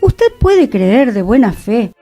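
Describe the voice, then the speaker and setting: A woman radio host with a Rioplatense Spanish accent, reading a literary essay aloud in an expressive, rhetorical way. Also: a little high, slow, soft background music. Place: community radio studio.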